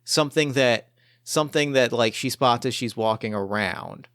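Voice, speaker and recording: Man, game master, clear smooth voice, halting improvised narration, repeated phrases, dry close sound. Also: medium pitch, a bit bright, no echo.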